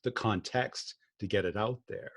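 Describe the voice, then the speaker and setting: Husky, blunt-talking man. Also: lowish voice, moderate pace, fairly bright tone. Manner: blunt, plainspoken. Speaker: podcast host. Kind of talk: casual conversation.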